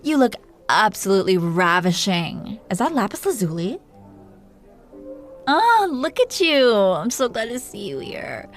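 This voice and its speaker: A woman playing a commanding, playful, possessive dragon queen in an intimate roleplay audio drama. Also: medium pitch. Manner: imperious, playful, teasing.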